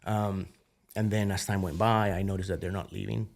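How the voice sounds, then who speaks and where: A man in his thirties with a Colombian accent and a fairly low voice talks as a podcast guest. His telling is loose and animated, full of "dude" and "you know". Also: normal speed, gravelly. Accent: Colombian Spanish. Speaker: podcast guest, biotech founder. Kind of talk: casual conversational interview.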